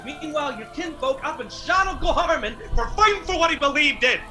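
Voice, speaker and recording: Actor as tense, high-voiced defiant Appalachian mountain man. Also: animated, fairly quick, faint music behind, full character voice. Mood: bitter defiance. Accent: Southern Appalachian. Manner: forceful, rural dialect. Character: defiant younger feuding mountain man, fierce and proud. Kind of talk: radio drama acting.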